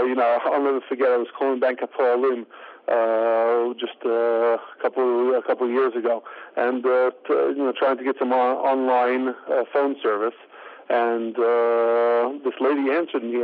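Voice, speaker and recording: A man giving an interview, speaking haltingly with many fillers. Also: medium pitch, flat delivery. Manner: halting, full of fillers like "uh, you know". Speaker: interview guest. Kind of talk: interview over the phone. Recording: tinny phone line.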